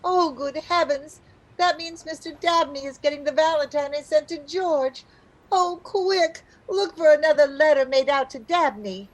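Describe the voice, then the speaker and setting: A woman's voice: high voice, normal speed, close to an everyday voice, low-quality recording. A woman playing a scatterbrained, exasperated young housewife with a fretful, insistent manner in old-time radio comedy. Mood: alarmed.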